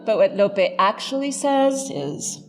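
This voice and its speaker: A woman lecturer with a fairly high voice and lively pitch movement. She is articulate and dryly funny, stressing her points. A long hall reverb surrounds her, with faint music behind. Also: slow. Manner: wry, articulate, emphatic. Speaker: professor, lecturer. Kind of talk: scripted lecture with dry humour.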